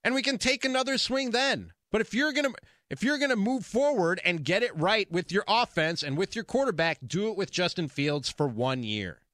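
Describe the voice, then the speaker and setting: A male sports radio host with a high, forceful voice. He argues his opinions insistently and repeats phrases to drive them home. The sound is close and dry, as in a broadcast studio. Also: animated, moderate pace, dry recording. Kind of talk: opinionated sports talk monologue. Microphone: close broadcast studio mic.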